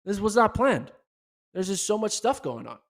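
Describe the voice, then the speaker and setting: Man, podcast host, hoarse from talking, excitable, chatty, slightly high voice. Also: normal speed. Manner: excitable, chatty, many fillers. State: losing his voice. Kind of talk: casual solo podcast recap.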